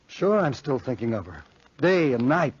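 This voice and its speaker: Casting: bitter, lovesick college lad, medium-pitched husky voice, earnest and strained; old radio drama, dull dry recording. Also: male voice, very animated, moderate pace, no room sound. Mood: nervous, troubled. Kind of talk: 1940s radio horror acting. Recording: muffled 1940s radio broadcast recording.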